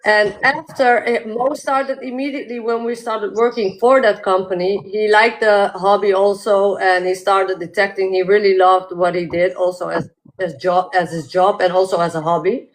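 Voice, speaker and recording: A woman's voice: medium pitch, steady tone, a little hiss or hum, poor audio. A woman from the Netherlands, a guest and metal detectorist, telling her story casually and laughing often, with many ums and likes. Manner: chatty, laughs often, fills pauses with uh and like. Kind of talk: casual interview chat.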